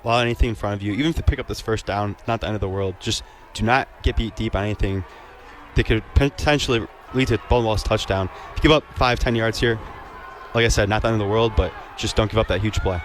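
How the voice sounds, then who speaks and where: Fast-talking man giving football color commentary. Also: on the low side, slight background noise. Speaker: radio football color analyst. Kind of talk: live sports analysis, conversational.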